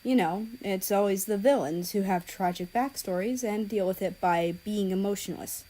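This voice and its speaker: A woman's voice: mid-range voice, moderate pace, dark tone, close to an everyday voice, poor audio. Woman reading as a scornful, dismissive teenage boy. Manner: sneering, dismissive.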